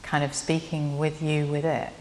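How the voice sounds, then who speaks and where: A woman interviewing in a gentle, reflective conversational way, thinking aloud and rephrasing as she goes. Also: low voice, little pitch movement, moderate pace. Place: reverberant indoor library room. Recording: long room reverb.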